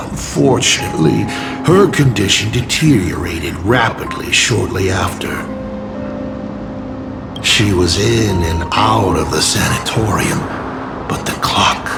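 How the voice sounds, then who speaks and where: Brooding game character: very low register, creaky edge, deliberate theatrical delivery, prominent music underneath. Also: male voice, heavily acted. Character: slow, brooding man narrating a sad tale. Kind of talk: dramatic video game voice acting.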